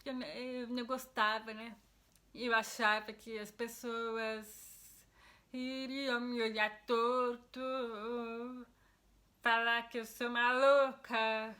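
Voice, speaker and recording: Young deaf Brazilian woman vlogger, higher, flat-toned delivery, earnest and explanatory. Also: slow pace. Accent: Brazilian Portuguese. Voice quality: deaf speech, heard by others as a foreign-sounding accent. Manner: earnest, explanatory, with frequent restarts. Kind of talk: casual personal explanation to camera.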